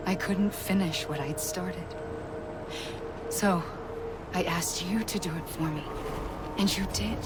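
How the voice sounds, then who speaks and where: An actress voicing a grave, haunted woman in a video game cutscene, speaking quietly and intimately, often close to a whisper. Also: lowish voice, background noise, close to an everyday voice. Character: grave woman who founded a network, haunted. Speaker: game character. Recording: game cutscene with loud score. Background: orchestral game music.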